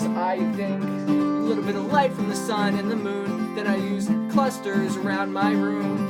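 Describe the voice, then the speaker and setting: A man's voice: on the high side, rough recording. A man hosting a casual live question-and-answer stream on his phone, rambling loosely with lots of "like" fillers. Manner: rambling, relaxed, many "like" fillers. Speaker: livestream host answering viewer questions. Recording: loud guitar music behind voice. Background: acoustic guitar playing.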